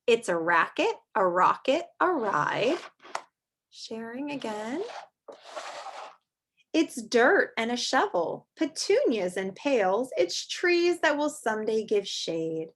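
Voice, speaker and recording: A woman with a fairly high voice speaks as a teacher to young children. She reads a picture book expressively and slips in simple, chatty asides. The recording is homemade, with clear background noise. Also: very animated, slow pace. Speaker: teacher reading aloud to children.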